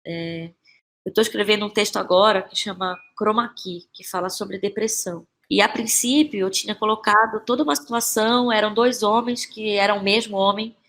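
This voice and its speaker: Woman, Brazilian Portuguese accent, medium pitch, conversational, reflective, halting phrasing, repeated words, frequent tag questions. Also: fairly even intonation, fairly bright tone. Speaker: playwright and actress, podcast guest. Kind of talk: casual, reflective interview talk.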